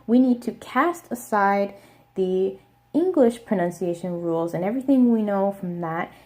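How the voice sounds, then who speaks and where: A young woman with an American accent explaining pronunciation step by step in a casual video lesson, repeating words slowly. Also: mid-range voice, warm tone. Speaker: language teacher.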